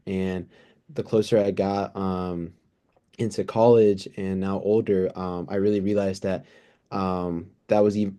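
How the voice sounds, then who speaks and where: A man in his twenties giving earnest, thoughtful, somewhat halting answers in a casual interview. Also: low-pitched, dark tone. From United States, born in El Salvador. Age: 20s. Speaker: interview guest, pre-physical therapy student.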